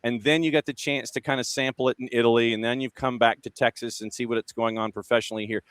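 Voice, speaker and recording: A man's voice: mid-range voice, steady tone, fast talker, a bit bright, smooth, dry recording. An American man hosting a casual sports interview, rambling into his questions in a folksy way. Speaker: sports show host.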